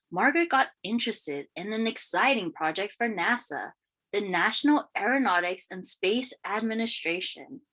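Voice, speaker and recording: A woman reading a children's picture book aloud in an expressive, deliberate storytelling manner. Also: fairly high pitch. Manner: expressive, questioning lifts and deliberate phrasing. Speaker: read-aloud storyteller, teacher.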